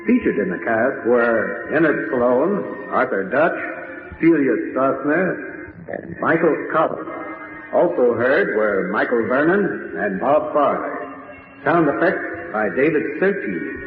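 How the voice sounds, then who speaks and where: A ghoulish horror host, announces slowly with lively, theatrical swings. He sounds ominous and deliberate, in old-time radio style. The voice is thin and tinny in a huge echo. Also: medium pitch. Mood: formal, deliberate. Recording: tinny, very echoey hall, faint music.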